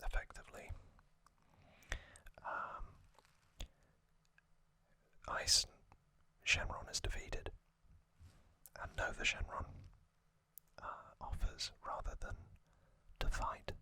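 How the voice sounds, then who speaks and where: A man whispering gently and breathily as an ASMR host, recapping a story in unhurried, halting phrases close to the microphone. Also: no room sound.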